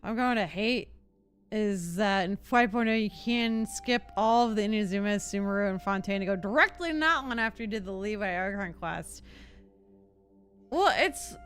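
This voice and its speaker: A young adult woman streamer chatting casually over gameplay, informal and opinionated, thinking out loud. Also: medium pitch. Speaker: game streamer. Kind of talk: casual live gameplay chat.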